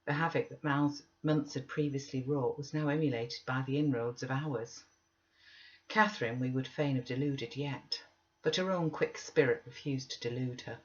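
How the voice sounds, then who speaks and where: A woman's voice: very deep voice, moderate pace, muffled recording. A woman narrating a literary audiobook from a script. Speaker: audiobook reader.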